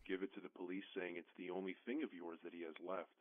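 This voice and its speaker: A man's voice: deep voice, little pitch movement, quick pace, no room sound, narrow, tinny audio. A man voicing a worried caller leaving a recorded phone message to his partner. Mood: uneasy.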